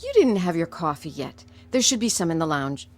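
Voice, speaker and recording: An actress playing a polite, puzzled, obliging office secretary in a naturalistic drama, tentative and lightly playful. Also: female voice, lowish voice, sing-song, fairly quick, a little mellow, slight background noise.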